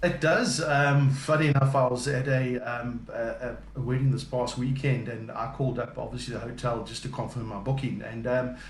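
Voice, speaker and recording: South African man, halting interview answers, echoey room with light hiss. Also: medium pitch, fairly even intonation. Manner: hesitant, many ums and uhs. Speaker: business development and account manager.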